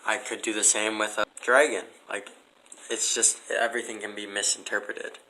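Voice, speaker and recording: A man's voice: fairly low pitch, level tone, moderate pace, sharp tone, rough recording. A man chatting casually as an interview guest, with a blunt, skeptical way of talking. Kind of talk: casual chat.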